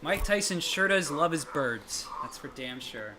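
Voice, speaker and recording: A man's voice: fairly high pitch, lively intonation, a little slow, a crowd in the background. A man as a guest on a game livestream, with a nasal voice, self-mocking and laughing as he chats. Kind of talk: casual chat over a game stream.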